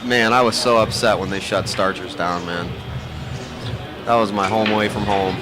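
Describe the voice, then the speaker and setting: A man's voice: lowish voice, fairly even intonation, normal speed, over music. An American man from Ohio giving casual live sports commentary in a chatty, folksy, slangy way. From Ohio, USA. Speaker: pool match commentator.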